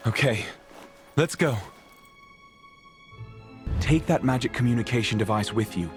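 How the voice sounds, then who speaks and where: An actor playing an earnest, studious mage investigator in a video game, speaking in a thoughtful, articulate way. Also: male voice, medium pitch, unhurried, faint music behind, lightly acted. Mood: focused, decisive.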